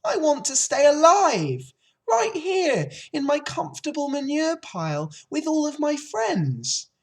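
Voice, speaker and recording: A woman's voice: high-pitched, wildly swooping pitch, very slow, a little mellow, voice pushed up, heavily acted. A woman voicing an anxious, childish young male pig from a storybook, wailing and pleading in an emotional way. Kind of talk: storybook character voice.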